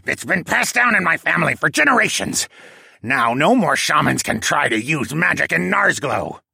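A man's voice: fairly high pitch, very animated, normal speed, gravelly, sharp tone, slightly rough, no echo, voice pushed up, heavily acted. A man voicing a hateful, fanatical penguin-man assassin in an audiobook, with a squawking, hissing, sneering delivery. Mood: defiant boasting. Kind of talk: acted audiobook dialogue.

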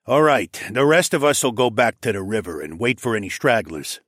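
A man's voice: fairly low pitch, big pitch swings, normal speed, a bit bright, dry recording. A commanding, practical male alpha of a wolf pack, played by a male narrator. He speaks bluntly, as a leader in a fantasy audiobook, with a very dry, close sound.

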